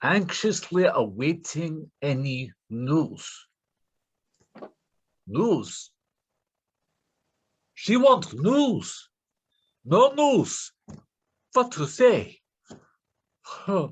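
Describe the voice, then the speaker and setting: A desperate Russian con man, acted by a man in a readers theater play, speaks with a Russian accent in halting, broken English. His voice sits a little high and swings widely in pitch, yet he moves extremely slowly, as if composing each phrase, over faint noise. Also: very animated, slightly rough, exaggerated voice. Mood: anxious, frustrated. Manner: halting broken English, muttering asides to himself. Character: desperate Russian male scammer posing as Nigerian suitor. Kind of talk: staged readers theater acting.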